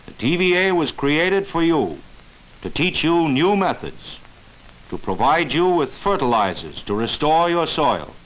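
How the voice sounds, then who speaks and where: Earnest male documentary narrator, lively pitch. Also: unhurried, rough recording. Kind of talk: scripted narration, mid-century educational film.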